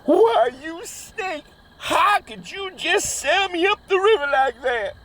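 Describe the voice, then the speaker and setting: A man's voice: extremely high pitch, expressive, slow pace, fairly bright tone, exaggerated voice. A man playing an ornery, suspicious, dim-witted backwoods hillbilly with a put-on rural drawl in a comedy audio drama. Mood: indignant. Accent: rural Southern hillbilly drawl, put on. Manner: folksy bad grammar, exaggerated bluster.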